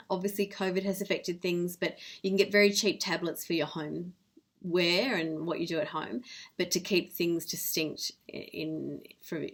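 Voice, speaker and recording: A woman's voice: on the low side, fairly bright tone. A woman giving an informal instructional presentation as a professional, explaining things in a plain-spoken, direct way. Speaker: legal professional presenting security tips.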